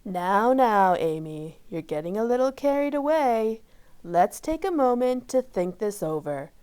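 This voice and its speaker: A kindly, sensible woman of reason from a children's storybook as a female reader puts her on. She speaks slowly and soothingly, in a smooth, measured voice. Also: a little high, big pitch swings, dark tone. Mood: calm, gently correcting. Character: PFC, calm kindly tall woman, the brain's sensible voice of reason.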